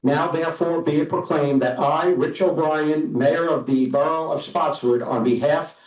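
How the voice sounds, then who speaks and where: A man with a fairly high voice reads a formal proclamation at a council meeting in a steady, measured way. He sounds far from the microphone, and the room echoes around him. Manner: steady formal reading with small restarts. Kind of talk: reading a proclamation aloud. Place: council chamber.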